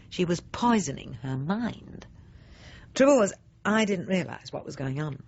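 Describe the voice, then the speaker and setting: A woman's voice: sits low, gravelly, breathy edge, exaggerated voice. A woman acting a self-righteous, snobbish middle-aged housewife in a confiding radio drama monologue, prim, pointed and self-justifying. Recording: slightly dull, lo-fi radio recording.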